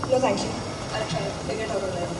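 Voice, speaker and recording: A woman's voice: mid-range voice, racing, heavy background noise, lightly acted. A woman acting on stage as a wounded, defiant young college student, recorded in an echoing hall. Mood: withdrawn. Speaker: stage actor. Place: theatre stage, recorded from afar. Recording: echoey hall, far mic, background music.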